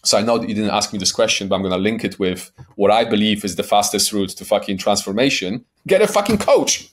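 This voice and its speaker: A man's voice: fairly low pitch, animated, quick pace. A man in his forties with a light Polish accent, a life coach talking as a podcast guest in a blunt, emphatic way, swearing for emphasis and often checking in with "right?". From Poland. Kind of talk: podcast interview.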